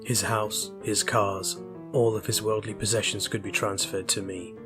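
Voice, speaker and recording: A man narrates short fiction from a script. His voice sits on the low side. Instrumental music is clearly heard beneath the reading. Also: flat delivery, normal speed. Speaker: story reader.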